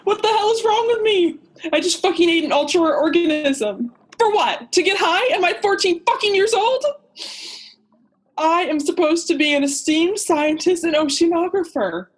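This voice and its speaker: A woman's voice: very high-pitched, lively intonation, normal speed. A woman playing an anxious, self-doubting, depressed male character in a radio play. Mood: frantic self-reproach. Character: depressed, self-loathing male oceanographer, also as a boy.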